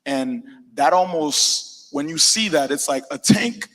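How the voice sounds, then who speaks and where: Slangy relaxed man in an echoey hall. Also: a little high, unhurried. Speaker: panelist. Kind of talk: casual panel discussion. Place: event venue with a large hall.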